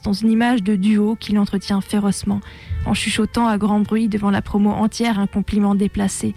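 Even-toned young woman reading a testimony. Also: medium pitch. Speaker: narrator of a personal testimony on a radio show. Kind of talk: scripted first-person narration, literary testimony read aloud.

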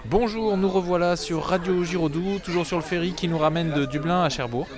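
A man hosting a school radio interview, asking quick joking questions on a ferry deck. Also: sits high, a crowd in the background. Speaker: student radio host. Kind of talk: casual interview.

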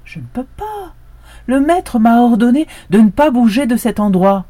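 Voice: mid-range voice, big pitch swings, normal speed, mellow, voice pushed up. A storyteller playing an anxious, pleading guard dog. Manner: insistent, pleading.

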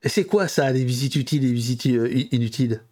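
A man's voice: medium pitch, fast talker, darkish tone. A man hosting a casual online panel, talking with many fillers and restarts. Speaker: livestream host.

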